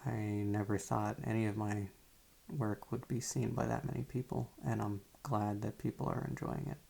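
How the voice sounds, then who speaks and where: A man who makes ASMR videos, giving a soft-spoken, casual update to his viewers in a low-key, hesitant way. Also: little pitch movement, moderate pace, creaky, breathy edge.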